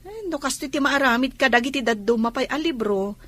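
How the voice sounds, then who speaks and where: A woman plays a young, polite Ilocano shop worker in a radio drama. Her Filipino voice is high and a bit husky, and she speaks her mind candidly. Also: a little fast. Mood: politely correcting.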